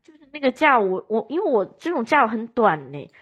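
A woman's voice: a little high, very animated, a little fast, slightly rough, muffled recording. A woman talking casually as a speaker on a podcast, in an everyday conversational way.

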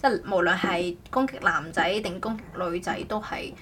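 A woman's voice: lowish voice, moderate pace. A woman chatting casually as a podcast host, rambling and often correcting herself. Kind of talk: casual chat.

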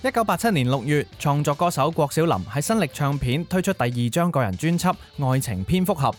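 A man's voice: a little high, big pitch swings, fairly quick. A man presenting a music programme in a scripted narration style. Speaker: music radio or podcast host.

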